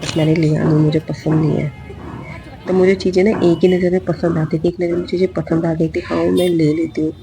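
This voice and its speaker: A hoarse woman vlogger chatting casually in a voice-over about a shopping trip, repeating phrases for emphasis. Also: on the low side, little pitch movement, a little fast, warm tone, soft background music.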